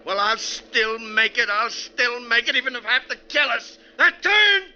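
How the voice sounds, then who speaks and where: An actor in a 1940s radio drama playing a desperate, unhinged killer who poses as a bus driver, menacing and overwrought. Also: male voice, very high voice, expressive, normal speed, very noisy. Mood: frantic, desperate. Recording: muffled old 1940s radio recording.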